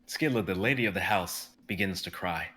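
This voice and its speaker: A man narrating a live dramatic reading in a steady, plain way. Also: sits low, fairly quick, husky.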